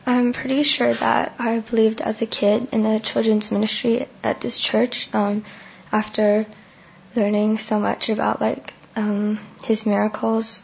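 A young adult woman with a hoarse, worn voice, giving her testimony at church in short chunks. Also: on the high side, flat delivery, unhurried, lo-fi sound, slight background noise. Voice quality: gravelly, husky. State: hoarse, lost voice. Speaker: baptism candidate giving testimony.

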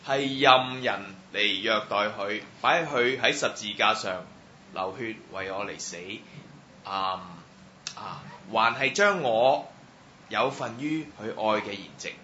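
A man interpreting a testimony line by line at church, halting with many fillers. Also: on the low side, bright, forceful tone. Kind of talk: live sentence-by-sentence translation. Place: church hall. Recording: roomy, muffled hall sound with faint noise.

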